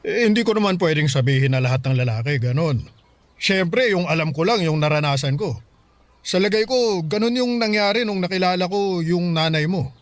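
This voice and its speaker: An actor playing a caring, bewildered middle-aged Filipino father in a radio drama, speaking gently and questioningly. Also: sits high, expressive, normal speed, no room sound. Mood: patient, advising. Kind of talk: Tagalog radio drama acting.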